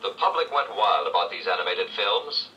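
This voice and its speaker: A man reading scripted 1970s educational narration with measured, clear storytelling phrasing. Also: deep voice, sing-song, sharp tone, recorded from a distance, highs cut off. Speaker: read-along narrator. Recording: old read-along played back from afar, faint noise.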